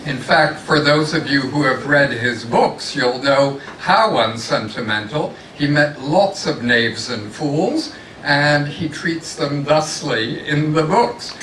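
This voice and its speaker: A man speaking loudly from the floor at a forum without a microphone, sharing reminiscences. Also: on the high side, expressive, slow pace. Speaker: former institute president, guest speaker. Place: large conference room. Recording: echoey room from far off, faint noise.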